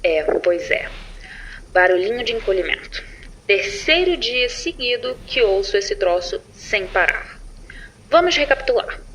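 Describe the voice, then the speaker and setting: A woman's voice: mid-range voice, a bit bright, slight background noise, lightly acted, low-quality recording. Woman playing a sardonic space patrol chief. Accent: Brazilian. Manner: informal, sardonic, foul-mouthed. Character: sarcastic, irritable female chief space patroller. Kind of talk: audio drama mission log.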